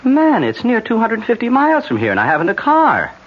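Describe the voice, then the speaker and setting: An actor playing an easygoing American writer living in Ireland, in a folksy, chummy 1970s radio drama style. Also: male voice, very high-pitched, wildly swooping pitch, brisk, breathy edge, exaggerated voice. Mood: casual, skeptical. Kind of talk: 1970s radio drama acting and first-person narration. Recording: noisy, muffled 1970s radio broadcast.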